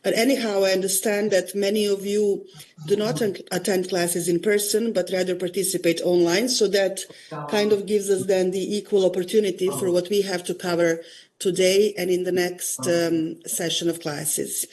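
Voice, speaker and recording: A woman's voice: mid-range voice, little pitch movement, moderate pace, a little mellow. A woman law professor running an online class, polite and hesitant with many fillers, over a video call.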